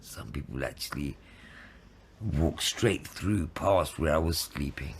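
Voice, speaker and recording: Slow, low-voiced man in his fifties. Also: expressive, slight background noise. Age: 50s. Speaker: interviewee telling own story of addiction and homelessness. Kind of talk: personal testimony.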